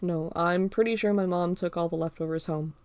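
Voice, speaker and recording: A woman's voice: on the low side, steady tone, fairly quick, darkish tone, no echo, lightly acted. Woman reader as a bossy, snappish young man, dry close sound. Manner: snappish, commanding.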